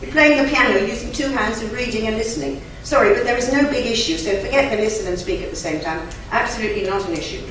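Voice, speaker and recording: A woman whose first language is German, a conference interpreter giving an emphatic, chatty lecture to a live audience with lively asides. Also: on the high side, fast talker, hardly any pauses. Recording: very echoey hall, from far off, faint noise.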